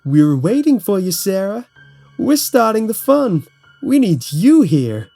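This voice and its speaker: A man's voice: sits high, sing-song, unhurried, creaky, warm tone, faint music behind, pitched above the performer's own voice, heavily acted. A man voicing a menacing clown with a silly, goofy cartoon voice, teasing and falsely friendly, in a horror story. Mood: eerily cheerful.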